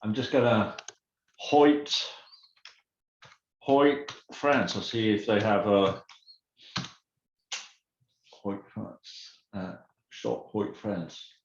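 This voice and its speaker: A man's voice: fairly low pitch, drawn-out words, pauses often, slight background noise. A man hosting a casual video call, asking curious questions between short acknowledgements. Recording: echoey dull video call audio.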